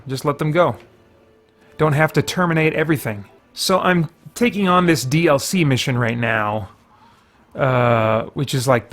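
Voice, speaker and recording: A man's voice: mid-range voice, a bit bright. A man giving casual gameplay commentary as a host, explaining his reasoning and thinking aloud as he goes. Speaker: host of a gaming video series.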